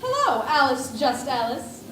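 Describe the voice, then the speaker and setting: A woman's voice: sits high, sing-song, unhurried, a bit bright, echoey room, far from the mic, a little hiss or hum, exaggerated voice. A woman playing a fussy, touchy male egg in an amateur stage play. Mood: huffy. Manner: huffy, prim, easily offended.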